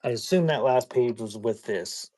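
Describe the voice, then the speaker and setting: Man, live auction seller, casual rambling small talk, folksy, halting delivery, mid-range pitch, dry close sound. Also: mellow, dry recording. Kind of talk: casual rambling chat while showing items for sale.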